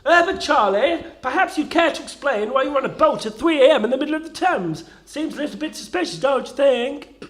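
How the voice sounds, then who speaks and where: A man playing a pompous, excitable judge in a comedic radio sketch, bossy and theatrical. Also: very high-pitched, fairly quick. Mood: stern. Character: pompous, excitable courtroom judge with daft outbursts.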